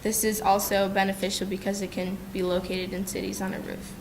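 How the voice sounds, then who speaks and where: Young woman student presenting at a podium, pretty monotone, bit of rumble in the background. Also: brisk, rough recording. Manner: steady, explanatory, some restarts and ums. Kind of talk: classroom presentation. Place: lecture room. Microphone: desk microphone at a podium.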